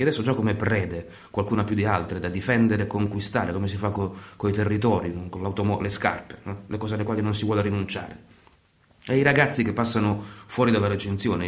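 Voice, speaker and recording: An actor playing a storyteller and troupe leader, delivering scripted radio theatre in measured phrases. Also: male voice, on the low side, echoey room, natural voice. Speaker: narrator.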